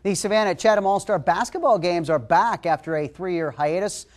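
A middle-aged man anchoring sports news in a TV studio, reading scripted stories and calling highlights. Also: moderate pace.

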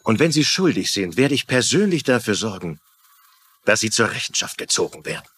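A stern police commissioner in a crime drama. His voice is husky and varies a lot in pitch as he admonishes in formal, suspicious tones. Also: big pitch swings, fairly quick, fairly bright tone, heavily acted. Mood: warning. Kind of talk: German-dubbed TV crime drama acting.

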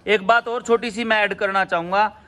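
A man's voice: high-pitched, steady tone, normal speed, sharp tone. A man interviewing at a farm, with an agreeable style and frequent affirming interjections. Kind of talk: casual interview.

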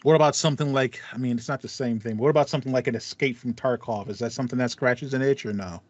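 A man's voice: mid-range voice, quick pace, no echo, dull audio. A man in his thirties co-hosting a casual gaming podcast, often repeating words as he thinks aloud. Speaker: podcast co-host. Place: small room with acoustic foam.

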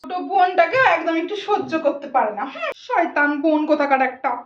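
A woman's voice: very high-pitched, sing-song, fast, lo-fi sound. A woman voicing a cold, stingy middle-aged mother who scolds bluntly and dismissively. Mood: scolding. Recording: echoey room with noise.

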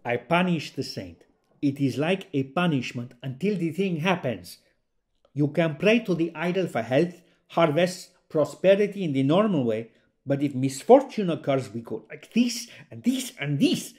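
An actor playing a devout, superstitious country believer, telling stories emphatically in an audio drama monologue. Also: male voice, on the high side, lively intonation, normal speed, darkish tone, lightly acted. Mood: fervent. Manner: emphatic, storytelling.

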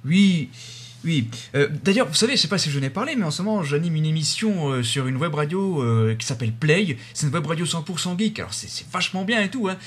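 A man's voice: a little high, animated, brisk, a bit bright, breathy edge. A man playing out a comic phone conversation in a casual, hesitant, rambling way. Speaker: radio show host. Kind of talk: comedy sketch phone conversation.

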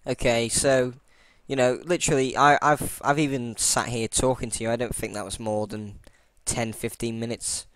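Man, tutorial host, rambling, lots of ums and restarts, smooth clear voice, dry close sound. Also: mid-range voice, flat delivery, moderate pace, hardly any pauses, fairly bright tone, no echo, poor audio. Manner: self-deprecating. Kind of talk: casual screen-recording tutorial.

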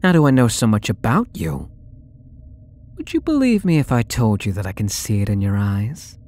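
A man's voice: lowish voice, very animated, a little mellow. A young man playing a possessive, sweetly menacing male stalker in an ASMR roleplay audio drama, with a taunting, coaxing manner. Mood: teasing, knowing. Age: young adult. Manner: slow, taunting, coaxing delivery.

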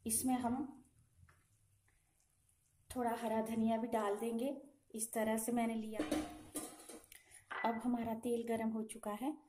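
A woman calmly talking through a home cooking recipe step by step, in a patient, instructive way. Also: fairly high pitch, slow pace, pauses often, background noise. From Himachal Pradesh, India. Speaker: home cooking channel host. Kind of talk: step-by-step cooking demonstration voice-over. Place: home kitchen.

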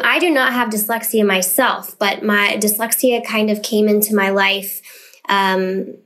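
A woman's voice: mid-range voice, a bit bright. A woman talking as a podcast guest, thoughtful and earnest as she explains her views. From United States. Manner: thoughtful, earnest, with fillers and restarts. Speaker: guest, literacy business founder. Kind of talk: casual podcast interview.

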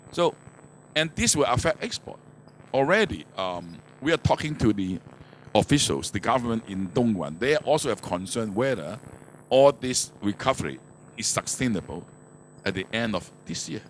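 An older man explains business matters from a conference stage. His English has non-native phrasing and small grammatical slips. The handheld microphone recording carries faint background noise. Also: medium pitch. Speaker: manufacturer speaking as conference panelist. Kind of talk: panel discussion, explaining business trends. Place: conference stage, indoors, before an audience. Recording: noisy handheld mic in conference hall.

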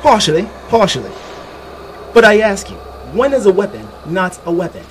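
An actor playing an eccentric, showy inventor in a dramatized audiobook, teasing and theatrical, fond of rhetorical questions. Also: male voice, sits high, big pitch swings, normal speed, slight background noise. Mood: smug, playful.

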